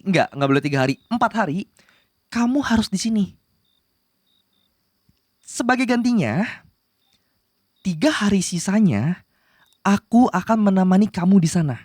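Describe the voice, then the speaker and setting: A woman's voice: mid-range voice, a little mellow, dry recording, studio quality, lightly acted. A woman playing a possessive, lovestruck young male demon prince, bossy yet flustered, in a romantic roleplay spoken to the listener. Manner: insistent and flustered, pleading between commands. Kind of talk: romantic ASMR roleplay acting addressed to the listener.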